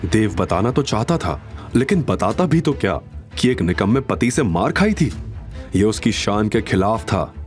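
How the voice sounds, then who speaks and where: A man's voice: on the low side, sing-song, normal speed, darkish tone, soft background music. A man narrating a story in a dramatic, scripted audio series style.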